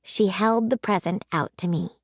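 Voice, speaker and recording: A woman narrating a children's story in an animated, emphatic storytelling manner. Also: medium pitch, moderate pace, no echo, studio quality. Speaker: read-aloud storyteller. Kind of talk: expressive children's audiobook narration.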